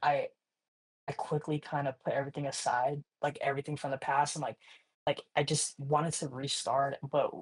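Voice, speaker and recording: Laid-back husky young man from Hawaii. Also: mid-range voice, level tone, normal speed. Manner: laid-back slangy talk, many "like" and "you know". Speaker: guest artist. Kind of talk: casual interview chat.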